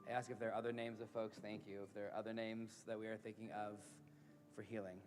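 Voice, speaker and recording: A man leading a synagogue service as rabbi, gentle and halting, often repeating his words. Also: fairly low pitch, level tone. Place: synagogue sanctuary. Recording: reverberant sanctuary with music.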